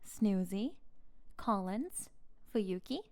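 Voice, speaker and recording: A woman speaking quietly as an ASMR channel host, reading a scripted outro. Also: mid-range voice, drawn-out words.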